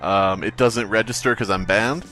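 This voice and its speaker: A man's voice: lowish voice, moderate pace, bright, forceful tone, faint music behind. A man co-hosting a podcast, chatting casually in a sardonic, sweary way. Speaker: podcast co-host. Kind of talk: casual chat.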